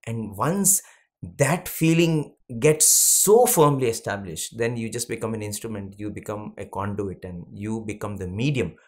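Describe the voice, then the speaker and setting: A man shares a devotional reflection in an unhurried, thoughtful way. He leans on key words with slow emphasis and lets some phrases drop almost to a whisper. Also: on the low side, darkish tone. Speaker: satsang speaker. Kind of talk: devotional storytelling conversation.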